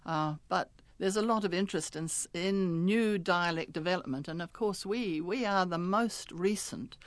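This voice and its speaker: A woman's voice: fairly low pitch, moderate pace, dry recording. An older woman with a New Zealand accent, a retired linguistics professor talking chattily in a radio interview, laughing often and restarting words.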